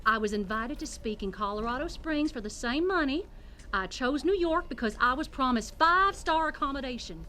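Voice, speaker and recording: A woman's voice: sits high, lively intonation, moderate pace, bright, forceful tone, a little hiss or hum. A woman playing a brash, demanding guest speaker in an audio drama. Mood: indignant.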